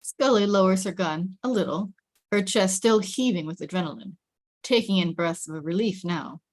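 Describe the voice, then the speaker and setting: A woman's voice: mid-range voice, moderate pace. A woman narrating the scene and camera directions of a script at a table read. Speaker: stage directions reader.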